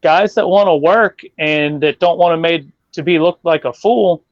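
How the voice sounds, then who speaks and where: A man co-hosting a podcast, talking wrestling in an animated, opinionated way and swearing casually. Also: fairly high pitch, normal speed, no echo, muffled recording. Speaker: podcast co-host.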